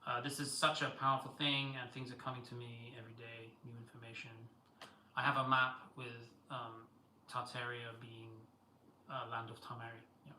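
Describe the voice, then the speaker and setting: A man's voice: medium pitch, flat delivery, normal speed, bright, forceful tone, reverberant, a little hiss or hum, low-quality recording. A man hosting a live stream, talking in a rambling way with many fillers and restarts and greeting viewers as he goes. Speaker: livestream host. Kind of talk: casual live-stream monologue.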